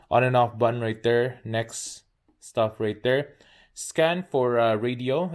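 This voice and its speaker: A man's voice: lowish voice, darkish tone. A man narrating a casual hands-on product demo, pointing things out piece by piece in short phrases. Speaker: product reviewer.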